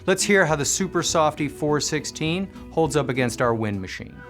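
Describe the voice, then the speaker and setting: A man presenting a scripted product review, explaining things with clear enunciation. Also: fairly high pitch, expressive, moderate pace, loud music behind the voice. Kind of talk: scripted product review narration with live demo commentary.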